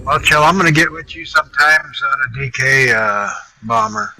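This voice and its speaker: A soft-spoken man taking part in a casual gaming chat as a workshop participant. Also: mid-range voice, normal speed, rough recording.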